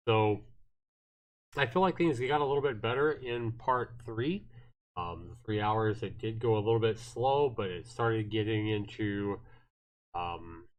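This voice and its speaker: A man hosting a casual livestream of a visual novel, talking to viewers in a rambling, halting way and reading game text aloud. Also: fairly low pitch, poor audio.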